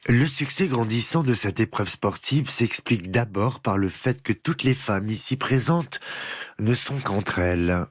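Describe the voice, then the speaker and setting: A man reporter narrating a news feature voice-over in a calm, even delivery. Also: lowish voice, a little slow, no room sound, clean studio sound.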